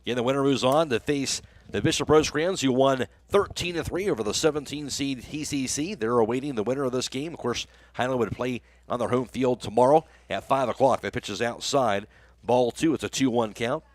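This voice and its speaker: A man's voice: medium pitch, fairly quick, dry recording. American man calling baseball play-by-play, dry sound. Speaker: radio play-by-play baseball announcer. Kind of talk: live sports commentary.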